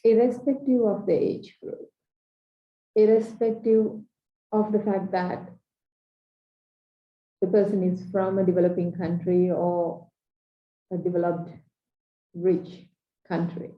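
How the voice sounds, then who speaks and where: Slow, earnest Sri Lankan woman coach. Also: fairly low pitch, fairly even intonation. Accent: Sri Lankan English. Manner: measured, earnest, pausing between short phrases. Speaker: transformational coach. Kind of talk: slow reflective training talk. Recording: dull echoey room recording.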